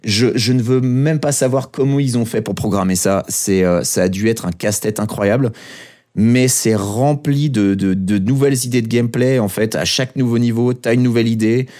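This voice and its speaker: A man's voice: mid-range voice, moderate pace, mellow. A man co-hosting a casual podcast, talking with frequent hesitations and fillers but enthusiastic. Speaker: podcast co-host.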